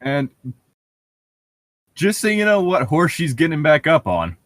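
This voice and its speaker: A man's voice: a little high, very animated, unhurried, no echo. A man casually riffing as co-host of a fan fiction reading, joking and laughing often. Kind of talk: casual riffing commentary on a story.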